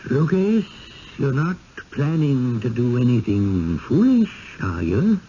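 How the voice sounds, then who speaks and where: An elderly village innkeeper speaks slowly and persuasively, with swooping intonation and quiet firmness. He is genteel and measured, heard on a dull, noisy old broadcast of a radio play. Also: medium pitch, big pitch swings. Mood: quietly warning. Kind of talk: 1970s radio drama acting.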